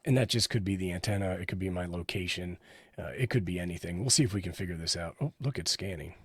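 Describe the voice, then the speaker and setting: A man's voice: deep voice, quick pace, slightly rough, no echo. A man giving a casual hands-on product review, explaining each step as he goes. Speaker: radio hobbyist reviewer, host.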